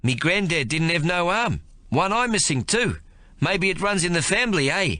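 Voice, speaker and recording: A man's voice: high voice, sing-song, moderate pace, pushed and bright, no echo, voice pushed up, heavily acted. Man playing a big stoic New soldier in pain, laconic, understated. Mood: joking.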